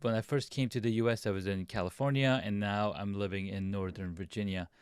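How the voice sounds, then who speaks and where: Smooth low-voiced man telling his story. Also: fairly even intonation, brisk, no room sound, clean studio sound. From grew up in Pakistan, Saudi Arabia and the US. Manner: upbeat, chatty, talks with some restarts. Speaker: guest, designer and videographer. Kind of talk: casual storytelling on a video podcast.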